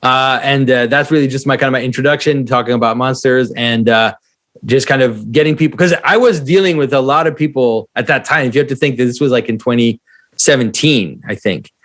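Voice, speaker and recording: A man's voice: medium pitch, brisk, fairly bright tone. A man who is not a native English speaker, hosting a casual conversation and talking reflectively while searching for words. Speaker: host.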